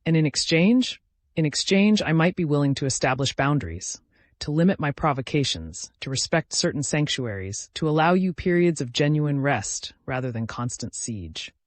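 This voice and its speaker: A man voicing an ancient, cunning, hungry entity in a fantasy story, speaking in a measured, formal, insistent way. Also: fairly high pitch, expressive, no echo, close to an everyday voice. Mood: earnest bargaining.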